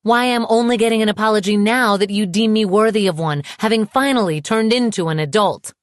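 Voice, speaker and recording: A woman's voice: fairly low pitch, animated, normal speed, dry recording, studio quality, natural voice. A woman voicing a wounded, indignant young woman in an audiobook, with an emphatic, emotionally charged delivery. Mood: bitter, furious.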